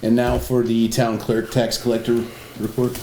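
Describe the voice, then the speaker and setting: A man's voice: mid-range voice, monotone, moderate pace. A man chairing a town board meeting and giving a report. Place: indoor public meeting room. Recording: echoey meeting room with clear background noise.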